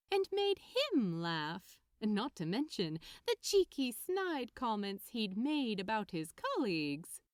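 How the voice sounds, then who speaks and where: Slow, expressive woman reading a story. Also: a little high, dry recording, clean studio sound. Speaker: fan fiction narrator. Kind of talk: expressive scripted story narration.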